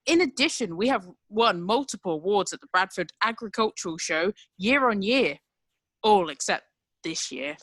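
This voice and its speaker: A woman's voice: medium pitch, lively intonation, normal speed, fairly bright tone, no room sound. A woman playing a proud, indignant male butcher defending himself in a period courtroom play, with an accusing manner. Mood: proud, formal. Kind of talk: theatrical courtroom play acting.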